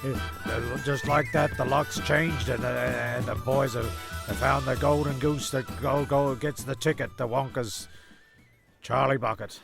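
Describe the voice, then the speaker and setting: A man playing a bumbling, irritable storyteller in a comedy radio play, rambling along in a hesitant, deadpan way. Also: medium pitch, measured pace, gravelly. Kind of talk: rambling, sweary comic narration. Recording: music under the voice.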